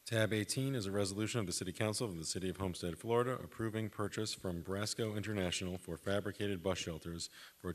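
A man reads resolution titles into the record at a city council meeting. His voice is very deep, and he races through the legal text. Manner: rapid legal reading. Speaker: city attorney reading agenda items. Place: council chamber.

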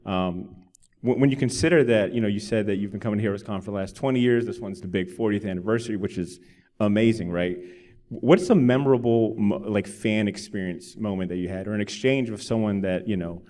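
A man's voice: fairly low pitch, a little fast, warm tone. An American man in his thirties hosting a panel on a handheld mic, putting questions in a conversational way. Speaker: podcast host moderating a panel. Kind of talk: conversational interviewing. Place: convention panel room, standing on a stage by a podium. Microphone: handheld microphone.

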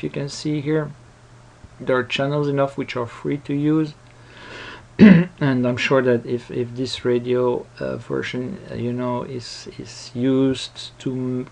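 Slow, gravelly man giving a casual tutorial. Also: medium pitch, darkish tone. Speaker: tutorial presenter. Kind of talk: casual unscripted software tutorial. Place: voice-over recorded at a computer.

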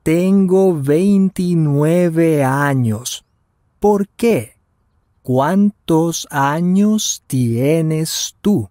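A man's voice: on the high side, drawn-out words, mellow, dry recording, studio quality. A man voicing Daniel, a curious traveler in his twenties, in a scripted lesson dialogue with careful delivery. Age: 20s. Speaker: voice actor in language lesson dialogue. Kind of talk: scripted slow and normal-speed lesson dialogue.

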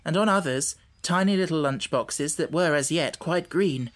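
A man reading scripted storybook narration as an audiobook narrator, measured and carefully articulated. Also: a little high, a bit bright.

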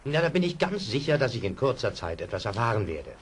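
A man's voice: medium pitch, big pitch swings, fast, a little hiss or hum, lightly acted. An actor playing a kindly middle-aged theatre showman in a German children's radio play, assured and avuncular. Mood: confident, generous.

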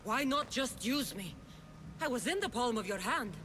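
Woman acting blunt, sceptical warrior woman, swooping pitch. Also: lively intonation, normal speed, background noise, lightly acted. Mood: indignant. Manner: direct, questioning, guarded. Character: blunt, sceptical young female mercenary warrior of ancient Greece. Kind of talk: dramatic video game cutscene acting.

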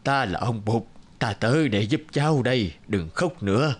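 Benevolent elderly magical sage for cartoon, male actor, gentle, solemn, mid-range voice. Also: mid-range voice, expressive, normal speed, close to an everyday voice.

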